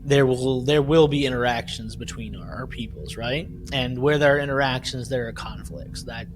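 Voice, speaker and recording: A man's voice: medium pitch, fairly even intonation, moderate pace. Man chatting on a noisy podcast recording. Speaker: podcast co-host. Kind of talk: casual discussion recapping an audio drama.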